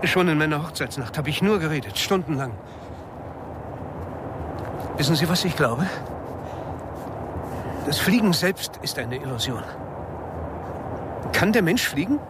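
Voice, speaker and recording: An actor playing an anxious, talkative, philosophising airline passenger in a radio crime drama, rambling nervously. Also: male voice, on the high side, expressive, measured pace, pauses often. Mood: nervous, musing. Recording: loud engine-like vehicle noise behind.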